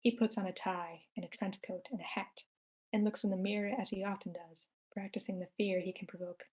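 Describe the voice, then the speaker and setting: Casting: female narrator with a medium-pitched voice, reading scripted scene descriptions. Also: steady tone, fairly quick, darkish tone, rough recording. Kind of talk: reading screenplay stage directions aloud.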